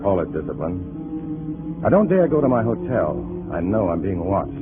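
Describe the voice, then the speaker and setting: Tense bitter double agent, lowish voice, 50s radio noir, tinny old recording, faint music. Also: male voice, big pitch swings, moderate pace. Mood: grim, brooding. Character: bitter, tense undercover agent posing as Communist comrade. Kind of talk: 1950s radio acting, hard-boiled first-person narration. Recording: muffled vintage radio drama, faint music.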